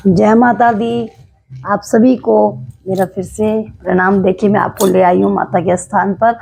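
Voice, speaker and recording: A devout woman from Uttarakhand tells a temple legend to her viewers, her voice slightly hoarse from days of singing. The tone is gravelly and husky, warm with devotion, and broken by many small hesitations as she explains. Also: mid-range voice, steady tone, a little slow, low-quality recording. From Uttarakhand, India. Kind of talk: casual storytelling to camera.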